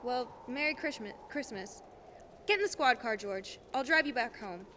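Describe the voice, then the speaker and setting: A young woman playing a brisk, plain-spoken small-town police officer in a radio play, recorded in an echoey hall. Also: a little high, animated, pushed and bright. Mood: concerned. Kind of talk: filmed radio play acting, high school production. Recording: echoey hall, faint noise.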